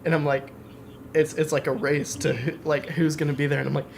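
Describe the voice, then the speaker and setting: A man chatting casually about video games on a podcast, with clear background noise. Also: fairly high pitch, level tone, brisk. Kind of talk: casual gaming podcast chat.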